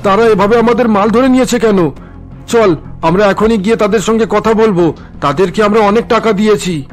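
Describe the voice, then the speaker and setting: A man acts out a wealthy, crooked father in a cartoon drama. His voice sits very high for a male and sounds agitated and indignant, with music playing underneath. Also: fairly quick, lightly acted.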